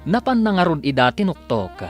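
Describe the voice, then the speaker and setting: A man's voice: fairly high pitch, very animated, a little fast, soft background music. A man with an Ilocano accent narrating a radio drama serial in a dramatic, scripted style.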